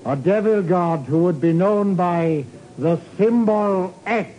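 An actor playing a courteous, grave Indonesian government geologist who speaks formally and ominously in a 1950s radio drama. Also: male voice, high-pitched, slow, over music. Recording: noisy 1950s radio recording.

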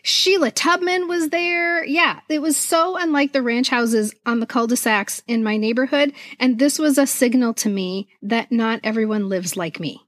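A woman's voice: on the high side, moderate pace, few pauses, no echo, studio quality. A middle-aged American woman telling stories casually and expressively as a podcast co-host. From United States. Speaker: podcast co-host and children's author. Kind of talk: casual conversational storytelling about childhood memories.

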